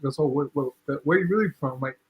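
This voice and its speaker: A man's voice: on the high side, a little fast, slightly rough, highs cut off. A man from Ghana co-hosting a podcast, telling a story in a chatty way with constant checks on the listener. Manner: chatty, repeats "you know what I'm saying". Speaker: podcast co-host. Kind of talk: casual storytelling.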